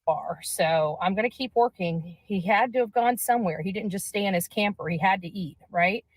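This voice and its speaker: A woman's voice: mid-range voice, normal speed, slightly rough, no room sound, low-quality recording. Woman vlogger, dry close sound. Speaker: amateur investigator vlogger. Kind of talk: casual on-location update to viewers.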